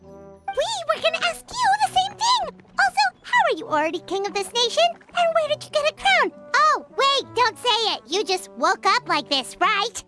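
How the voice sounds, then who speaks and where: A woman voicing a tiny, excitable, childlike fairy companion with a squeaky voice in a video game. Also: normal speed, hardly any pauses. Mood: indignant, incredulous. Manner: speaks of self in third person, expressive. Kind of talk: English video game voice acting. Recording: loud music behind the voice.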